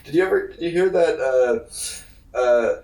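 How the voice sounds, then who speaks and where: A man co-hosting a podcast in casual, comedic chat, rambling and haltingly searching for words, with plenty of swearing. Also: on the high side, lively intonation, darkish tone. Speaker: podcast co-host. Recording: roomy, echoey, distant mic.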